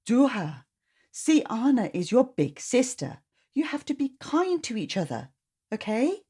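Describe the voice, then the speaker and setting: A woman voicing a gentle, coaxing mother or aunt in a children's story, with a singsong manner. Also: on the high side, sing-song, measured pace, slightly rough, pitched above the performer's own voice, full character voice.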